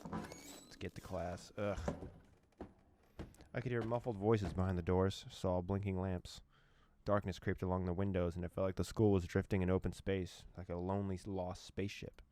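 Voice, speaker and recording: A young man from the former USSR reading a horror visual novel aloud, with quick laughs and reactions between lines. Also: low-pitched, steady tone, darkish tone, a little hiss or hum. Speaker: let's play host.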